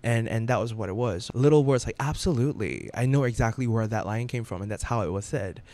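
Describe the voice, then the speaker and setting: A man working as a radio DJ, chatting as a podcast guest in an animated, expressive way. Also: medium pitch, fairly quick, dry recording. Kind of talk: casual podcast interview.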